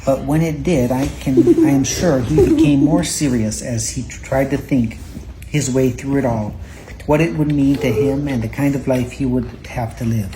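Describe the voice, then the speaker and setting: A parent reading a children's Bible story aloud at home, gently and a little haltingly, repeating words now and then. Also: mid-range voice. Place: indoors at home. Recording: home recording with faint background noise.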